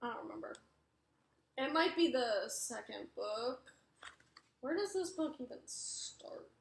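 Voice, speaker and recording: A woman's voice: high-pitched, animated, unhurried, creaky, slight background noise. A young woman with a mixed Wisconsin, New York and Arizona accent, casually chatting through a book review in a rambling way, correcting herself and filling gaps with "like". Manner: rambling, self-correcting, many fillers. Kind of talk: casual chat. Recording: echoey room.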